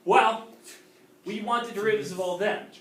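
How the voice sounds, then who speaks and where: Guy teaching calculus, kinda high voice, keeps saying okay?, picked up from across an echoey classroom. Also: fairly even intonation, fairly quick, a bit bright, slight background noise. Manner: checks in with frequent "okay?". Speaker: math teacher. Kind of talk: classroom lecture. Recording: roomy classroom audio from a distant camera.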